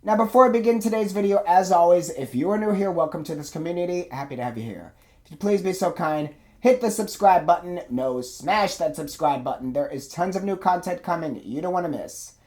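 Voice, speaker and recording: A man hosts a reaction video in a loose, chatty way, leaning on ums and uhs. His throat is sore and his voice comes out hoarse and stuffy from a cold, but he keeps an eager, friendly tone. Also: on the high side, animated, a little fast, darkish tone. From United States. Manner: chatty, many fillers, apologetic and eager. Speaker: music reaction video host. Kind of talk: casual chat to camera.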